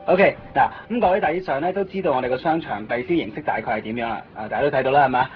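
An actor playing a brisk, eager-to-please office manager in a 1990s radio drama, with a Hong Kong Cantonese accent sprinkled with English, often seeking agreement. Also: male voice, mid-range voice, over music, natural voice. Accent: Hong Kong Cantonese with English words. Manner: chatty, seeks agreement with tag questions. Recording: muffled radio recording, some noise.

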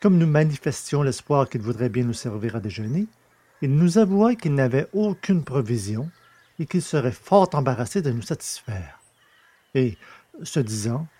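A man's voice: mid-range voice, expressive, moderate pace, warm tone, dry recording. A man reading literary fiction as a scripted audiobook narrator.

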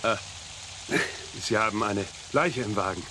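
A man's voice: fairly low pitch, measured pace, noisy background, lightly acted. A man acting an officious, cheerfully corrupt policeman in a German crime radio play, bossy and bureaucratic but jovial. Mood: curious, bossy.

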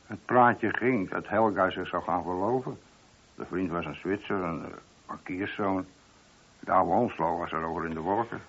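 Police sergeant, low husky voice, chatty matter-of-fact report, radio play, muffled old recording. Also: male voice, normal speed, a bit bright, heavily acted. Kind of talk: radio drama acting.

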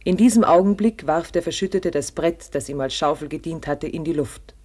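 A male actor as a grave storyteller with a fairly high voice for a man. He delivers scripted dramatic narration in measured, short sentences, in the style of 1950s German radio. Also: darkish tone, close to an everyday voice. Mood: suspenseful.

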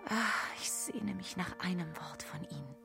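An actress playing a yearning, seductive woman in a radio drama, pleading in a breathy whisper. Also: fairly low pitch, music in the background. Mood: longing, pleading. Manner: slow, pleading. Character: yearning, seductive mystery woman writing love letters. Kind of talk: literary radio drama acting.

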